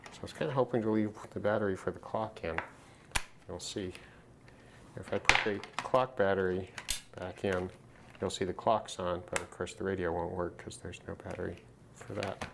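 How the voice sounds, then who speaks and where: A man giving casual, unscripted commentary on a hands-on repair, halting and musing to himself as he works. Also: deep voice, fairly even intonation. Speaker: host of a hobby radio repair video. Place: at a desk, indoors. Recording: clear background noise, handling clicks.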